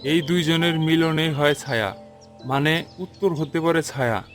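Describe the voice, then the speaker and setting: A man's voice: a little high, unhurried, soft background music, natural voice. A man voicing a clever, thoughtful young friend in a cartoon fairy tale, explaining things reflectively. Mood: pondering.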